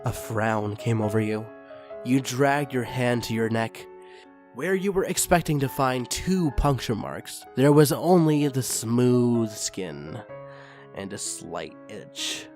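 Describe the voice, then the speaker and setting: Dude reading a fanfic out loud, pretty expressive, mid voice, quiet music in back. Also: male voice, mid-range voice, slow. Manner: expressive, swells on intense passages. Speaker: fan fiction reader. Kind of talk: dramatic reading of fan fiction.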